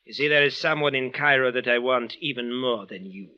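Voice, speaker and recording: A man's voice: mid-range voice, fairly quick, clean tone, natural voice. An actor playing a big, vengeful man from Damascus in an old radio drama, speaking formal, stilted English. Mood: scheming, persuasive. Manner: formal, stilted foreigner's phrasing without contractions. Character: tall, big, menacing, vengeful bandaged foreign man from Damascus. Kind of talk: 1940s-50s radio drama acting. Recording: dull old radio recording.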